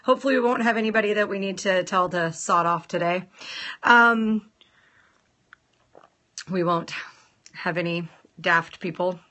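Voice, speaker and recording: A woman hosting a casual live stream, chatting playfully with viewers and greeting them in a sing-song lilt, her voice a little rough from a sore throat. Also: lowish voice, unhurried, pauses often, sharp tone. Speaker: nail salon owner hosting a live stream.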